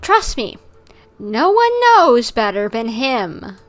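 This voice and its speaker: A woman's voice: fairly high pitch, sing-song, slow, faint music behind. A woman livestreaming a game, giving casual, playful and chatty reactions. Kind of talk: casual live commentary over a game.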